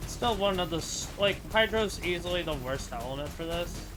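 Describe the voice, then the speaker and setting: A man's voice: high voice, expressive, normal speed, poor audio. A man giving casual, exasperated reaction commentary over a video game, often complaining. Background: faint game music.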